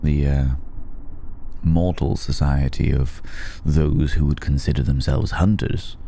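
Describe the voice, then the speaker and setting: A man playing a courteous, protective aristocratic vampire in an intimate ASMR roleplay, speaking formally and hesitantly with long pauses in a gravelly, dark voice. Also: a bass voice, a little hiss or hum, heavily acted. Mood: grave, measured. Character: ancient aristocratic male vampire, courteous, protective, quietly amused.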